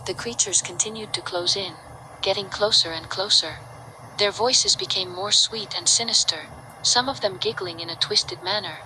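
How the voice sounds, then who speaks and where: A woman's voice: medium pitch, moderate pace, pushed and bright. A woman reading a scripted story as its narrator. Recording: clearly noisy background.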